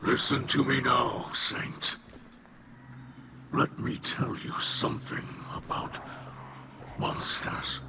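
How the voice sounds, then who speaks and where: An actor playing a grave, sorrowful alien leader of refugees in a video game, breathy and solemn in delivery. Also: male voice, medium pitch, slow pace, long pauses, noisy background, close to an everyday voice. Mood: grave, haunted. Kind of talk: dramatic video game cutscene acting.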